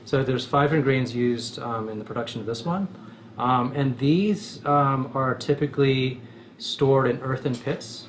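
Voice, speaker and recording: A man's voice: medium pitch, normal speed. American man explaining casually, some background noise. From United States. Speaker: bar co-founder being interviewed. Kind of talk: casual explanatory interview talk.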